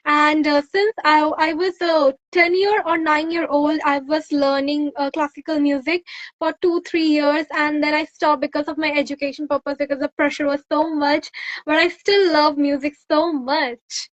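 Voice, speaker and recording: A woman's voice: high voice, steady tone, moderate pace, no room sound. A young Indian woman with an Indian accent hosting an interview, chatty and full of "uh, like" fillers. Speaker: host. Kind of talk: interview conversation. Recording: dull, dry video call sound.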